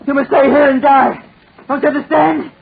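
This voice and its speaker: A man's voice: very high-pitched, flat delivery, moderate pace, creaky. An actor playing a deranged, desperate man in 1940s radio style, repeating his phrases feverishly and obsessively. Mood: frantic. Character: deranged desperate man set on burning his tormentor to death. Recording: scratchy, tinny 1940s radio recording.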